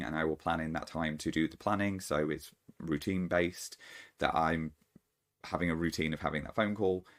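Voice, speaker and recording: A man hosting his own channel, giving an unscripted solo talk to camera in a thoughtful, halting way, often restarting his sentences. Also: deep voice. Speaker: channel host.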